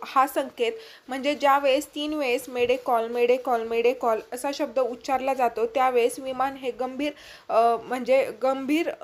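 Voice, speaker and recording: High-pitched Maharashtrian woman explaining news. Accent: Marathi, Maharashtra. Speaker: vlogger reporting news.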